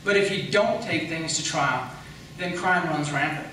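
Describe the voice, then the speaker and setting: Strong room echo and faint noise surround an American man in a campaign debate. He explains firmly in a bright, slightly raised voice, repeating key points for emphasis. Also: a little high, unhurried. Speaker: county attorney seeking re-election. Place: echoey hall at a public debate. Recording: very echoey room, distant mic, faint noise.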